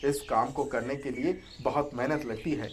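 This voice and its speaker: An actor playing a calm, patient elder brother, a hardworking farmer, gently advising in a measured way. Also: mid-range voice, background noise, lightly acted.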